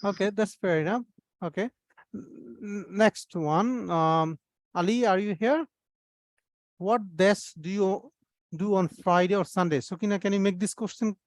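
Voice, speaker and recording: A man's voice: high-pitched, a little slow, no room sound. A man teaching an online English class, patiently correcting his students' grammar over a video call.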